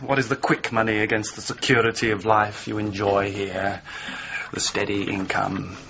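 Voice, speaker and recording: An actor playing an eager, scheming assistant in a radio drama, insistent and flattering. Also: male voice, on the low side, normal speed, natural voice. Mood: coaxing. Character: smooth-talking, eager, pushy scheming male assistant. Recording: slightly muffled radio play, faint noise.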